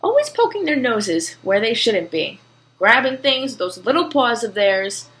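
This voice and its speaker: A woman's voice: on the high side, sing-song, normal speed, fairly bright tone. A woman voicing a fussy female skunk in a storybook, prim and critical, complaining about the neighbors. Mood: huffy.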